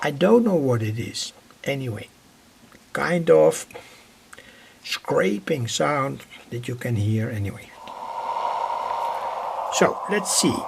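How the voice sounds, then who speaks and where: Slow, creaky-voiced man. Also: mid-range voice, very animated, a little hiss or hum. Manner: hesitant, deliberate, repeats himself. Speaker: electronics hobbyist presenter. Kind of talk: informal technical demonstration, narrated live.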